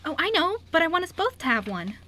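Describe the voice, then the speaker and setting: A woman voicing an eager, chatty, sweet seven-year-old girl in an amateur radio skit, gushing and excitable. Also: very high-pitched, quick pace, a little hiss or hum, voice pushed up, full character voice. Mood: excited.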